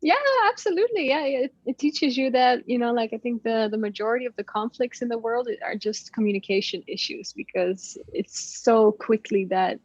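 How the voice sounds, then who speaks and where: A woman's voice: fairly high pitch, moderate pace, hardly any pauses, darkish tone, no echo, lo-fi sound. A woman whose first language is Dutch, talking in a casual interview with many fillers and frequent laughs. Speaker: podcast guest. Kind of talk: casual conversation.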